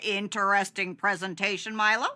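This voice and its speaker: A woman's voice: mid-range voice, little pitch movement, moderate pace, bright, forceful tone, dry recording, natural voice. A woman playing a weary, sardonic schoolteacher in a cartoon, with a dry, mock-polite, theatrical delivery. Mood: dry, controlled. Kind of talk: animated cartoon acting. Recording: music behind the voice.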